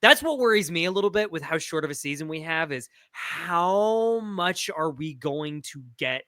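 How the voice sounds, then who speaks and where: Bright, close-up voice talking sports. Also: medium pitch, animated, moderate pace, no room sound. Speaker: podcast panelist. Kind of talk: casual sports discussion.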